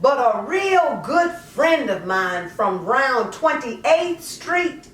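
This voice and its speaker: A woman performing a dramatic monologue poem as a troubled, self-justifying mother, colloquial, drawn-out and confessional. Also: high-pitched, very animated, fairly bright tone, exaggerated voice. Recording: echoey room, distant mic.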